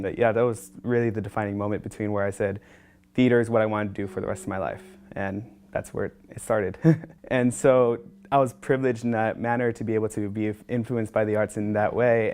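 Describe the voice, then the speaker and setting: A young man, a former intern, talking casually and reflectively in a documentary interview. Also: fairly low pitch. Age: young adult. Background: soft guitar music.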